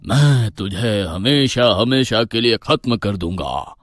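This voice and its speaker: A man acts a stern village tantric in an animated horror tale. He is grave, resolute and commanding, with a widely moving pitch and a dry, close sound. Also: takes it slowly, dry recording. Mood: stern, threatening. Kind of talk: animated horror story voice acting.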